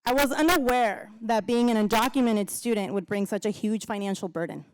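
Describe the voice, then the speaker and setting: Smooth-voiced woman giving a gala speech. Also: on the high side, fairly quick, no room sound. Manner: heartfelt, laughs between lines. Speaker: co-emcee and alum speaker. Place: large event hall, on stage. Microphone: handheld microphone.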